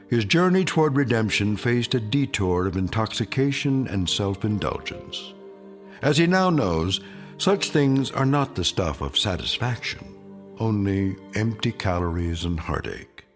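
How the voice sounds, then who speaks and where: A grave male storyteller narrating an uncanny radio drama. His voice is fairly low, with lively, sweeping intonation and an ironic, portentous manner. There is some music behind him. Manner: measured, portentous.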